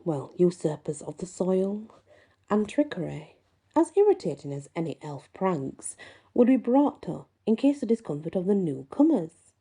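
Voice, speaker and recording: A woman host reading folklore aloud and musing on it, with deliberate, emphatic phrasing. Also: fairly low pitch, very animated, mellow, slightly rough. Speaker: host and reader. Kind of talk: reading a folklore book aloud with casual asides.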